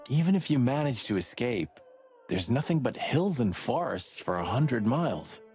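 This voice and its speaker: An actor playing a teasing, wisecracking detective sidekick in a noir video game, playful and theatrical. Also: male voice, medium pitch, big pitch swings, normal speed, a little mellow. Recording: faint music behind the voice.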